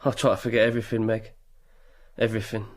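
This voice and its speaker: An actor playing a morose, broken drunkard in a radio drama, brooding and low-key, repeating his words. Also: little pitch movement, fast talker, natural voice.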